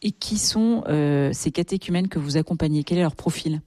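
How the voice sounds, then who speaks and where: A woman hosts a broadcast interview in a very low, velvety, mellow voice. She puts questions to her guest conversationally. The sound is close and dry, with a little music. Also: moderate pace, no echo. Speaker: interviewer, programme presenter.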